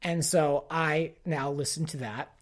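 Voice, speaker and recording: A man's voice: fairly high pitch, fairly even intonation, a little slow, over loud music. A man hosting a podcast, giving a casual, exasperated and opinionated fan commentary peppered with "like". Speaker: podcast host. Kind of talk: casual pop-culture commentary rant.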